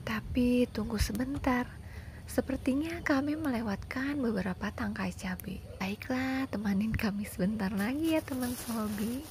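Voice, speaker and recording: A woman's voice: fairly high pitch, moderate pace, darkish tone. A woman from Indonesia narrating a home-garden vlog and addressing her viewers. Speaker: gardening vlogger. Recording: clear background noise, birdsong and outdoor ambience. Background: birdsong, occasional motor vehicles, roosters.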